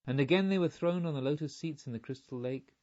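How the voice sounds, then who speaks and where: A man reading a literary audiobook in a measured, formal way, on a slightly muffled recording. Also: fairly high pitch, a little mellow. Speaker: audiobook narrator. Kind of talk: literary scripted narration.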